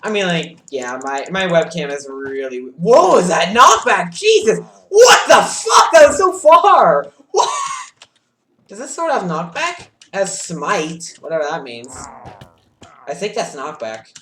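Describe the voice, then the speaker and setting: A man streaming a game live, reacting excitably with yelps and shouts, keyboard clicking behind him. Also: sits high, measured pace, roomy sound, slight background noise. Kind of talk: live gameplay commentary. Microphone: desk mic with audible keyboard.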